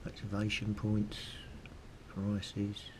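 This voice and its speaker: A British man giving a casual how-to commentary, thinking aloud and muttering informally to himself as he works. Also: extremely slow. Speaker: hobbyist video host. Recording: steady background noise with low rumble.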